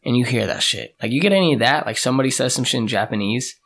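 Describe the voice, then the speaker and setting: A man's voice: mid-range voice, lively intonation, fast talker, creaky, fairly bright tone, breathy edge. A man co-hosting a casual podcast, joking and swearing as he chats. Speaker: podcast co-host. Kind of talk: casual chat.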